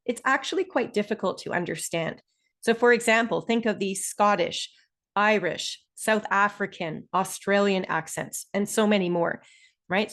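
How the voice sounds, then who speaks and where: A woman with a Canadian accent explains things clearly and deliberately as a webinar presenter, stressing key terms. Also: medium pitch, a bit bright. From Ontario, Canada.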